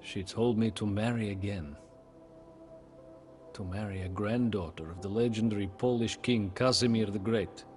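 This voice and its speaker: An actor playing a solemn, grieving widowed medieval king, narrating his story in a game cutscene with grave, measured pauses. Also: on the low side, darkish tone, faint music behind, lightly acted. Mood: sorrowful, remembering. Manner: grave, measured, pausing, often breathy at phrase ends. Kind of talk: dramatic first-person storybook game narration.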